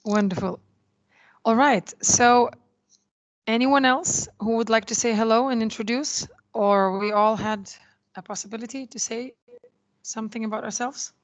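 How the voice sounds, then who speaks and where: A woman's voice: fairly high pitch, steady tone, moderate pace, poor audio. A woman moderating an online meeting, politely calling on participants and apologizing for technical trouble. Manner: polite, apologetic, brief laughs. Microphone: video call.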